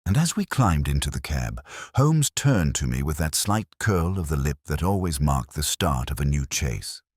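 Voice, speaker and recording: A man narrating a Victorian detective story as a scripted, dramatic audiobook, in a measured, literary manner. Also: rumbling low, very animated, darkish tone, slightly rough, no echo, studio quality.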